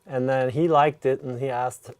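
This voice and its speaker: Forties man from Norway, casual interviewee, mid-pitched voice, dry close recording. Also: moderate pace, clean tone, dry recording. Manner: relaxed, rambling storytelling with many "you know" asides. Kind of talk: casual conversation.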